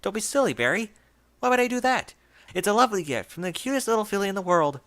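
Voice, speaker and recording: A man voicing a frightened pony forcing shrill, fake-bright cheer. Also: big pitch swings, quick pace.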